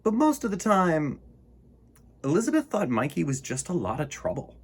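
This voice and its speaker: Man, children's storytime reader, highly varied pitch, rough-edged voice, playful delivery. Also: huge pitch swings, moderate pace, darkish tone. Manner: playful, theatrical emphasis. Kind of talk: animated picture book read-aloud with casual asides.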